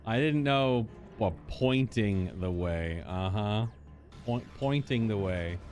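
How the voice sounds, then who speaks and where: A man's voice: lowish voice, lively intonation, slow pace, a little mellow, faint music behind. A man streaming a game playthrough, reading dialogue aloud and tossing in playful, informal asides. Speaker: gaming streamer.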